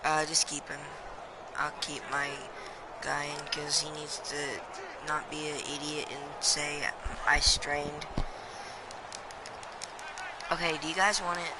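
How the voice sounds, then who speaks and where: A man's voice: fairly high pitch, flat delivery, slow pace, pauses often. A man with a scratchy voice streaming his gameplay, talking offhand asides to his viewers. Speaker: gamer streaming his play. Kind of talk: casual commentary over gameplay. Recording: game audio under voice.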